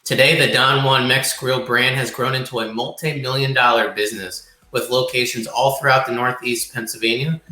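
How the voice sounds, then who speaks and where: A man's voice: mid-range voice, steady tone, moderate pace, hardly any pauses, sharp tone. An American man co-hosting a podcast, introducing and questioning a guest. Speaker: podcast co-host. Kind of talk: reading a guest introduction, interviewing. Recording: roomy, echoing sound.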